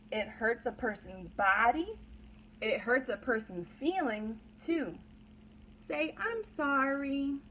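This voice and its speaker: A woman with a fairly high voice teaches a read-aloud lesson to very young children. She speaks slowly, repeats simple phrases and checks in with short questions. There is clear background noise on the recording. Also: pushed and bright, poor audio. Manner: instructional, simple phrases with repetition and prompting questions. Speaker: pre-kindergarten teacher.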